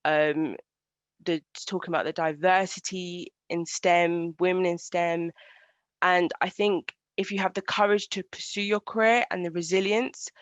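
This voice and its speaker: A woman engineer giving an online presentation in a halting, earnest way, over a video call. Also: fairly low pitch, fairly even intonation, no echo. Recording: dull lo-fi video call audio.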